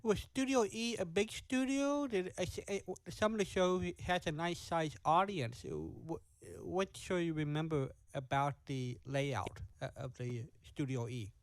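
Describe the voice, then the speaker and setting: A man's voice: a little high, measured pace, few pauses. A man asking informal interview questions, stumbling and rewording them as he goes.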